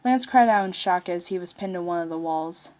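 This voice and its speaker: A woman's voice: fairly low pitch, a little fast, darkish tone. A woman narrating a piece of fiction, reading it aloud from a written text. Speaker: story narrator, fan fiction writer.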